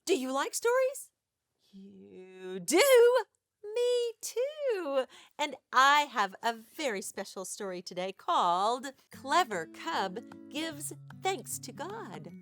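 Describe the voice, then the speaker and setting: A woman's voice: high-pitched, big pitch swings, takes it slowly, a bit bright, no room sound, soft background music. A woman hosting an interactive read-aloud for young children, exaggerated and questioning as she addresses listeners directly. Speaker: children's storytime host.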